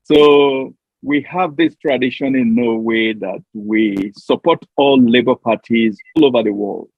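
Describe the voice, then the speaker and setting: A middle-aged man from Nigeria, answering interview questions over a remote link in a halting, deliberate way. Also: mid-range voice, no echo. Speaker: interview guest, diplomatic mission staffer. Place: remote call into a studio. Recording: tinny phone-like remote call.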